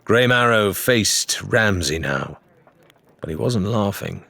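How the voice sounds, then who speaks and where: Male audiobook narrator, dramatic scripted reading, deep, husky, slow-paced. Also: animated, sharp tone, no room sound.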